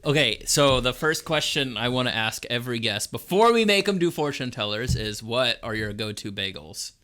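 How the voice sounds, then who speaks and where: A man's voice: medium pitch, sing-song, moderate pace, bright, forceful tone. A young man co-hosting a podcast, easygoing and steering the chat, laughing often. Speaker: podcast co-host.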